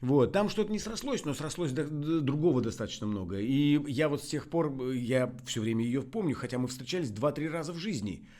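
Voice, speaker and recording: A man telling anecdotes in casual chat, enthusiastic and digressive, often halting and restarting mid-phrase. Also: a little high, lively intonation, warm tone.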